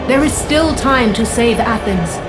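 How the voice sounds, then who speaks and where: An actress playing a solemn, commanding Greek goddess in a video game, measured and imperious. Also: female voice, on the high side, lively intonation. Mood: solemn urging. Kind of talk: dramatic video game acting. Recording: very loud music behind the voice.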